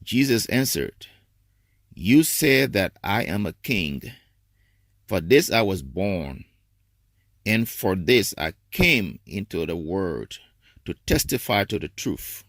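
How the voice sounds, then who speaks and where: Male reader for solemn scripture, slow and expressive, close dry sound. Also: sits low, no room sound. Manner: deliberate, expressive phrasing. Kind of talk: solemn dramatic scripture reading at a church service.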